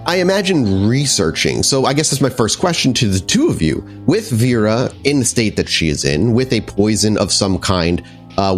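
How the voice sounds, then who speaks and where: Low-voiced, expressive male game master. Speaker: game master and podcast host. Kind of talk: narrating a tabletop roleplaying game.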